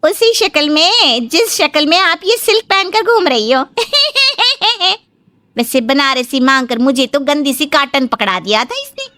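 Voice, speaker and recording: A woman voicing a cheeky, quick-tempered housemaid who talks back in a comic family story. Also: high voice, animated, bright, forceful tone, no room sound, exaggerated voice. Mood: catty, angry. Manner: cheeky, confrontational.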